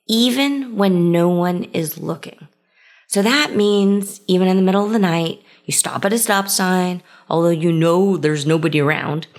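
A woman hosting her own podcast, talking through ideas in a conversational, reflective way and starting many sentences with "so". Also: fairly low pitch. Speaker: podcast host. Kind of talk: solo podcast monologue.

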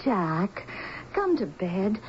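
An American actress playing an anxious, peacemaking wife in a 1970s radio drama, earnest, urgent and pleading. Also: female voice, medium pitch, sing-song, extremely slow. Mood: worried, pleading. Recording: dull, faintly noisy 1970s radio broadcast.

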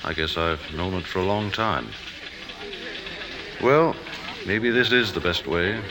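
A man's voice: low voice, a little slow. An actor playing a subdued, hesitant, resigned man in a 1950s radio drama. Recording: vintage 1950s radio broadcast.

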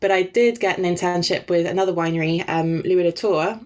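A woman from Denmark whose first language is Danish, chatting thoughtfully as a podcast guest with frequent pauses. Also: lowish voice, a little mellow. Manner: thoughtful, with frequent "um" and light laughs. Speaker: guest, wine writer. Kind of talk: casual interview chat.

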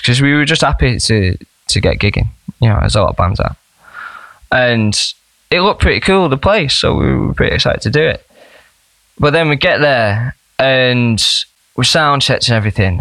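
A young man, a band member chatting as a podcast guest, telling rambling, animated stories. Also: mid-range voice, no echo. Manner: animated, rambling storyteller with laughs. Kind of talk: casual storytelling chat.

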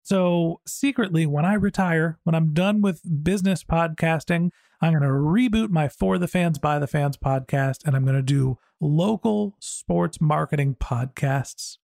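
A man's voice: a little high, unhurried, creaky, a little mellow, no room sound, studio quality. A man hosting a podcast in a casual, conversational interview style, with an informal, self-deprecating way of talking. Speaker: podcast host.

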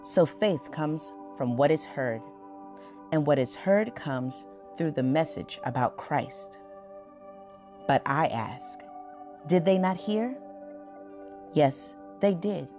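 A woman reading Bible scripture aloud for a podcast in a measured, solemn manner. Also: low-pitched, expressive, warm tone, faint music behind.

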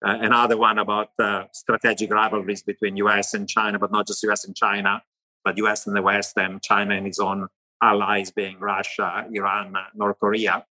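A middle-aged economist with an Italian accent, answering interview questions in long animated lists. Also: male voice, lowish voice, brisk, low-quality recording. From Italy. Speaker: economist guest.

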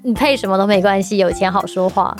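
A woman's voice: mid-range voice, quick pace. A woman with a Taiwanese Mandarin accent chatting casually and telling stories in a playful, teasing way. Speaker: podcast participant talking about BL audio drama dubbing. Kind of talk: casual chat. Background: music.

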